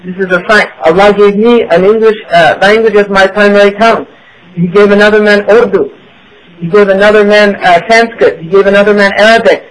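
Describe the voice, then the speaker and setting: Man, high voice, religious teacher, lecture, rhetorical questions. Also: steady tone, fairly quick, poor audio. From United States. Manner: rhetorical questions, frequent "right?". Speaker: Islamic teacher. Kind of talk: lecture to an audience.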